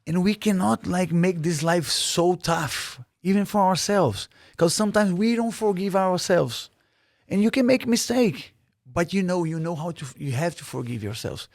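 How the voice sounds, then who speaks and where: A man's voice: high-pitched, animated, moderate pace, dry recording, studio quality. A man from Brazil, a native Portuguese speaker with a Brazilian Portuguese accent, talking earnestly as a podcast guest with many fillers and restarts. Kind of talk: casual interview.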